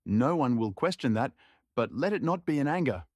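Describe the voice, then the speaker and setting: Guy doing a scared but stubborn young student, pitched up, protesting, folk tale audiobook. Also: expressive, normal speed, no echo, natural voice. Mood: fearful. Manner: pleading, protesting.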